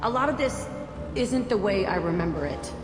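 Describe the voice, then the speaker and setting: A woman's voice: mid-range voice, expressive, normal speed, lightly acted. An actress playing a tough, bitter young woman who talks bluntly and swears freely. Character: tough, profane young woman, a former test subject. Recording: reverberant with loud game music.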